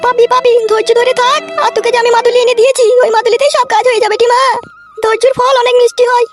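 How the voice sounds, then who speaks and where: A man's voice: very high voice, level tone, fast talker, faint music behind. A man voicing a scheming, bossy older mother-in-law who chides and commands in an amateur comedy cartoon. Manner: commanding, chiding.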